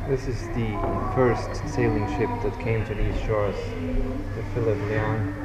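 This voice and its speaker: A man's voice: fairly low pitch, steady tone, a little slow, loud music behind the voice. A young man, speaking casually and informally as he narrates a travel vlog on an action camera. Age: young adult. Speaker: travel vlogger. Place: out and about: streets, museum, car. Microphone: action camera at arm's length or mounted. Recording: dull action-camera audio, loud ambient noise.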